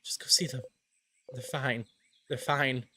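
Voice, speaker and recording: A man's voice: medium pitch, fairly even intonation, measured pace, bright, forceful tone, husky, dry recording. A man playing a panicked young traveler trapped in time loops, pleading and repeating words obsessively in a dramatic video game voice-over. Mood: anxious self-soothing.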